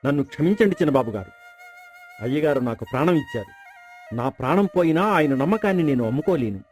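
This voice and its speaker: An actor playing a loyal, honest servant in a folk tale, respectful and earnest. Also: male voice, fairly high pitch, animated, fast, a little mellow, faint music behind, close to an everyday voice. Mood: humble, firm. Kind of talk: dramatized folk tale acting.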